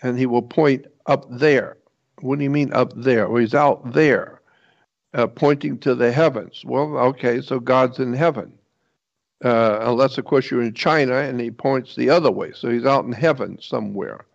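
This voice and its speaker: Man, gravelly voice, medium pitch, informal lecturer, halting delivery with emphatic repetition, muffled lo-fi recording. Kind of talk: informal explanatory lecture on religion. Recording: dull, lo-fi muffled audio.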